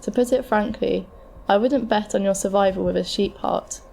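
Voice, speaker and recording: A woman playing a calm, frank transplant scientist, explaining options in a measured, scripted way for an amateur audio drama. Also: medium pitch, gravelly, slight background noise, natural voice. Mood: matter-of-fact. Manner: measured, matter-of-fact, explanatory.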